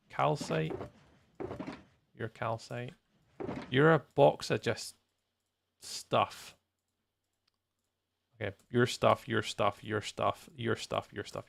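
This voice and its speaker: A man's voice: mid-range voice, creaky, a bit bright. A man chatting casually on a gaming livestream, thinking aloud in a playful, rambling way. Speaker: live streamer, host.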